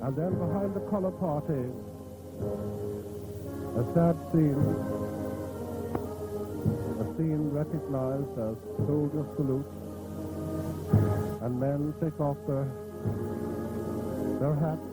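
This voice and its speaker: Irish guy doing grave old radio funeral commentary, really slow, band music loud behind him on old tape. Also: on the high side, steady tone, rough recording. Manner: grave, measured, pausing between short phrases. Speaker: radio outside-broadcast commentator. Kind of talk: solemn live funeral commentary, mid-century radio. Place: outdoors at a funeral procession. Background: loud marching band music.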